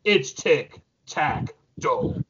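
A man's voice: fairly high pitch, wildly swooping pitch, extremely slow. A man co-hosting a podcast in casual game show banter, with theatrical, announcer-like flourishes. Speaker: podcast co-host. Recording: noisy dull lo-fi audio with clicks.

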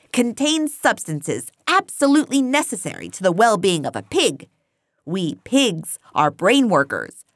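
A wheedling male pig orator , as acted out by a female audiobook reader, slick and emphatic. The pitch swings widely as he pleads, oratorical and insistent, in a dry recording. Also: fairly bright tone, no room sound. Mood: earnest, persuasive. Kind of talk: audiobook character voice.

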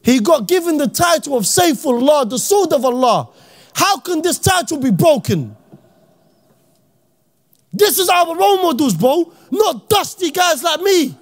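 A man from Iraq, giving an impassioned, slang-heavy talk to young people as an Islamic speaker and often shouting. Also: very high voice, big pitch swings, unhurried. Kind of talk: impassioned motivational talk to youth.

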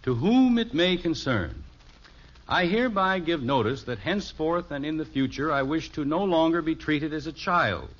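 A man's voice: fairly high pitch, animated, normal speed, fairly bright tone. An actor playing a wry, easygoing middle-aged American father in a 1950s radio sitcom, dry and mock-formal. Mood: wry, amused. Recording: muffled old radio recording.

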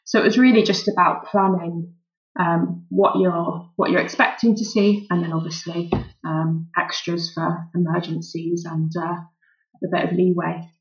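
Creaky-voiced woman, low, slightly muffled. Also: flat delivery, measured pace. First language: English. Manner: thoughtful, many "um" fillers. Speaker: volunteer doctor, guest. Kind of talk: relaxed interview answers.

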